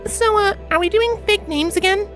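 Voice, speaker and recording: A man's voice: very high-pitched, moderate pace, over music, voice pushed up. A man voicing a curious, childlike young female pony in a hesitant, questioning way for an audio story. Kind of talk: audiobook character voice.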